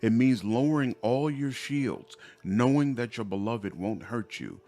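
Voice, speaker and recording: Man as a gentle, remorseful reformed demoness. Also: mid-range voice, expressive, measured pace. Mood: tender.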